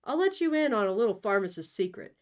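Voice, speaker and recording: A woman's voice: medium pitch, big pitch swings, fast, darkish tone, pitched above the performer's own voice, close to an everyday voice. A woman voicing a poor, hesitant, plain-spoken male shopkeeper in a comic storybook reading. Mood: obliging. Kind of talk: comic storybook read-aloud.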